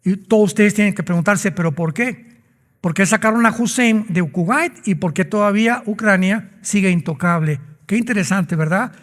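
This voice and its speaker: A man's voice: sits high, normal speed, fairly bright tone, in a large hall. A man preaching a sermon as a pastor, emphatic and full of rhetorical questions.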